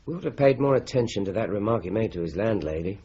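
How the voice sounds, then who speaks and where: An actor playing a measured senior investigator who sums up the case, in a radio drama. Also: male voice, on the low side, lively intonation, dark tone, slightly rough. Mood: reflective. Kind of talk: BBC-style radio drama acting. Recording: dull lo-fi radio play recording.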